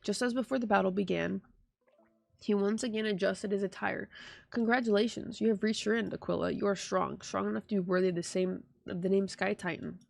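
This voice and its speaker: Creaky-voiced woman streaming a game. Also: mid-range voice, fairly even intonation, fairly quick, a little mellow, no room sound. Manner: irreverent, slangy. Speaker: game streamer reacting to a story quest. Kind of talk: casual reading aloud and reaction commentary.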